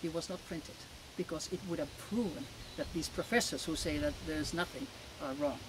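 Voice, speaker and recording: A woman in her seventies with a Finnish accent, giving emphatic, halting testimony as an interview guest outdoors. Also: low-pitched. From Finland. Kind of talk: conversational interview answers, personal testimony. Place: outdoors in a garden. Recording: clear outdoor background noise.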